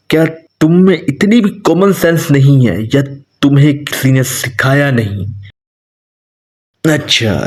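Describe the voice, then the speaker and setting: A man playing a cold, aloof young vampire king in a dramatic romantic ASMR roleplay, with a husky, breathy voice. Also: medium pitch. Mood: cold, scornful. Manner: aloof, condescending, slow deliberate delivery.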